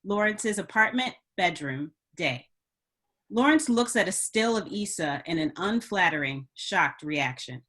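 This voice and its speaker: A woman's voice: on the low side, expressive, a little slow, a bit bright. Woman narrating screenplay stage directions. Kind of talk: scripted narration at screenplay table read.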